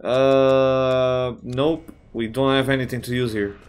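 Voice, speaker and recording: A man, slightly raspy from just waking up, giving casual let's-play commentary on a horror game, swearing often and thinking out loud. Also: mid-range voice, level tone, slow pace. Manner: swears often, thinks aloud, reacts with surprise. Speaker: gamer playing a horror game.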